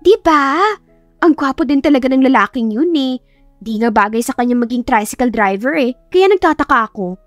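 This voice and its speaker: Playful young female teacher voiced by a woman, bubbly tease, high-pitched, audiobook romance. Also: lively intonation, brisk, dry recording, soft background music. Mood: gushing, teasing. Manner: teasing, exclamatory, playful. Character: teasing, giggly young woman teacher, playful best friend.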